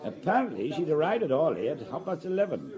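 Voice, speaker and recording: A man's voice: fairly high pitch, big pitch swings, fast talker, crowd noise. A man playing a loyal, matter-of-fact police subordinate giving methodical reports in a radio drama, with voices in the background. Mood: businesslike.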